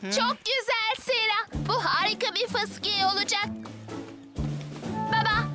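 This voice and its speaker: Very high-pitched bossy cartoon girl. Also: takes it slowly. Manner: commanding, insistent. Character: bossy, eager young girl organising her friends. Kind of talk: animated children's cartoon dub. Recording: loud music behind the voice.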